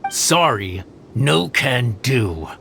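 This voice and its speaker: A man's voice: medium pitch, sing-song, drawn-out words, vocal fry, sharp tone, slight background noise, full character voice. A man voicing a smug, menacing hired thug in a video game, taunting and mock-persuasive with a drawl. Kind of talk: video game cutscene acting.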